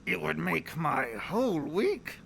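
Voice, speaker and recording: Male actor as a taunting, gravelly, slow-talking mutant prisoner; swooping voice, audio drama. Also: on the high side, very animated, fairly bright tone, slight background noise, exaggerated voice. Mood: mocking. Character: cunning male Nightkin super mutant captive, mad and mocking. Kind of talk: fan audio drama acting.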